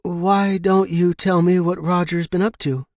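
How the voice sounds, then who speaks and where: A man's voice: sits high, little pitch movement, unhurried, a little mellow, no echo, clean studio sound, voice pushed up. A man voicing a tactful, polite young woman who speaks placatingly in a narrated suspense story. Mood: soothing. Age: young adult. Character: young woman, tactful, hesitant, soothing spirit medium.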